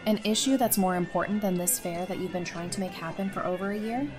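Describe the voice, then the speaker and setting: A woman's voice: lowish voice, quick pace, natural voice. A woman acting in an audio drama as a blunt, feisty survivor who challenges people in a slangy way. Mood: puzzled, challenging. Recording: music bed behind the voice.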